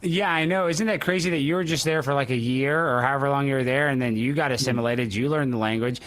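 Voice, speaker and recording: A man's voice: mid-range voice, fast talker, fairly bright tone. A man hosting a talk show with brash, joking, opinionated banter in a casual style.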